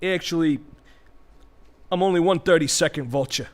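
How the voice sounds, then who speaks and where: A man's voice: a little high, moderate pace, close to an everyday voice. A man playing a bitter, sarcastic vulture with thwarted ambitions, ranting theatrically in a comic audio play. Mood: aggrieved ranting.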